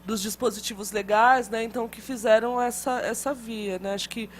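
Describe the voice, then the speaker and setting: Higher-pitched woman lecturing, faint hum. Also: fairly even intonation, moderate pace. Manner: conversational, frequent tag questions and restarts. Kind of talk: classroom lecture. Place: classroom.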